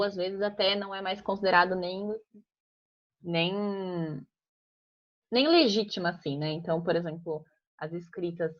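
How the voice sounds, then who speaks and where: A young woman talks her class through a grammar lesson in a relaxed, chatty way, tagging her points with "né?". Her voice sits a little low, and the recording sounds muffled and lo-fi. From Piracicaba, São Paulo state, Brazil. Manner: conversational, frequent "né?" tags and restarts. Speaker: teacher. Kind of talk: informal online grammar class. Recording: muffled lo-fi audio.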